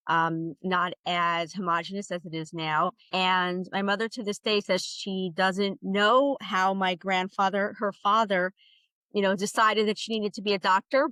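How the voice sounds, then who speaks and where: A woman's voice: medium pitch, sharp tone, dry recording, rough recording. A woman in her forties with a New York accent, a doctor answering interview questions in a chatty way with many fillers. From United States. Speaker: radiation oncologist guest.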